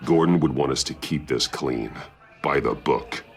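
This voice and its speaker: An actor playing a grim masked vigilante in a video game, speaking tersely in a gravelly, husky deadpan. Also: male voice, rumbling low, measured pace, soft background music. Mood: cool, stern.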